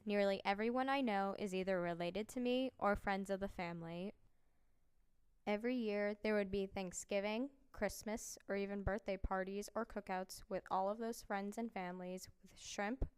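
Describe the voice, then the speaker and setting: A young woman from the New Orleans area reads her own essay in a calm, steady voice. Her tone is very crisp and clean. The recording is dry and close, with no room sound. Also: medium pitch, moderate pace, no echo. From New Orleans area, USA. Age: young adult. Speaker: student. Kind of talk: scripted personal narration.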